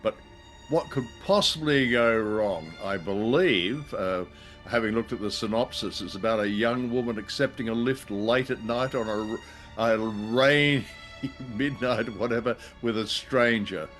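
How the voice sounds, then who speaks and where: A man's voice: medium pitch, unhurried, fairly bright tone, faint music behind. A man hosting a show, giving a wry, casual introduction to a radio play. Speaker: show host.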